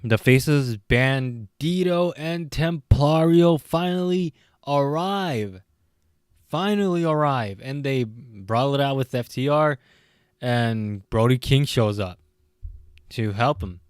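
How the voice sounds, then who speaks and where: A man's voice: mid-range voice, takes it slowly, clean tone, no echo, clean studio sound. A man giving a casual, rambling and hesitant recap with his opinions as a reviewer, his voice a bit tired from late-night talking. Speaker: wrestling show reviewer.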